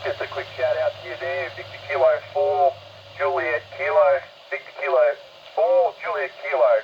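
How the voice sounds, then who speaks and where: A man's voice: on the high side, flat delivery, normal speed, creaky. An Australian man chatting casually as an amateur radio operator over a two-way radio, in a laid-back, hesitant, rambling way. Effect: two-way radio transmission. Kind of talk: casual radio contact chat. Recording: muffled, noisy shortwave radio reception.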